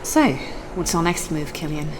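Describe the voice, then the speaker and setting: A woman voicing an inquisitive, brisk female vampire clan follower in a scripted fantasy drama. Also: low voice, lively intonation. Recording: long reverb, faint background noise.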